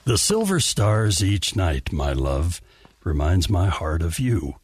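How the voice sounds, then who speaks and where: Man playing a husky, deep-voiced elderly poet. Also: very animated, darkish tone, heavily acted. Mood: tender. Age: 80s. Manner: slow, tender recitation. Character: gentle poetic 88-year-old night guard reciting love verse. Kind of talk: audio drama acting.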